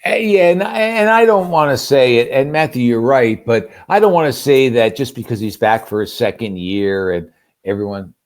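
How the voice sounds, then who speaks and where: A man's voice: mid-range voice, normal speed. A man giving conversational sports analysis as a guest commentator, talking emphatically and posing rhetorical questions. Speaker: guest sports radio play-by-play announcer.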